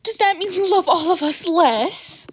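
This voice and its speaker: A woman's voice: very high voice, lively intonation, a little slow, no echo, pitched above the performer's own voice. Woman as a mischievous, needling teenage boy. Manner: dramatic, needling. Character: mischievous, theatrical teenage son stirring up trouble.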